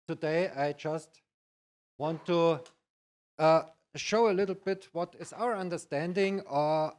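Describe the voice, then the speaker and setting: Older-ish bavarian german guy presenting at a conference, bit high voice, halting english. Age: middle-aged or older. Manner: halting, hesitant phrasing in non-native English. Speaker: conference speaker, IT practitioner.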